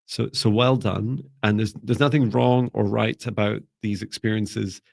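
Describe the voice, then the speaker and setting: A low-pitched man with a gravelly edge to his voice leads a live spiritual class online. He talks in a calm, gentle, sleepy way. He often hesitates and restarts his sentences. The sound is close and dry. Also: measured pace, no echo. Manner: calm, soft-spoken, gentle. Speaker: spiritual mentor. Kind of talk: live online class, reading viewer comments.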